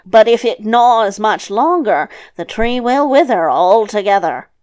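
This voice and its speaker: Casting: female reader as grumbling male devil for a fairy tale, unhurried delivery, quick to anger, exclamatory. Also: mid-range voice, lively intonation, darkish tone, no echo, lightly acted. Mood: scornful, knowing. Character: gruff irritable male devil, sleepy and easily angered.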